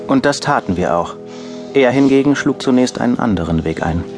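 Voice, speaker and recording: An actor playing a wry TV filmmaker, narrating a radio play in the first person with dry irony and a creaky voice. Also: male voice, medium pitch, lively intonation, brisk, over music, close to an everyday voice. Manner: dry, ironic storytelling. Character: wry TV filmmaker narrating his story, adult man.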